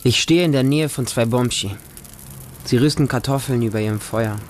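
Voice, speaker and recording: A man's voice: medium pitch, moderate pace, a little hiss or hum, lightly acted. A man playing a small, wary homeless boy who narrates his hungry street life in short, simple sentences for a radio drama. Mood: watchful, sad. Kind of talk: radio drama monologue in present tense.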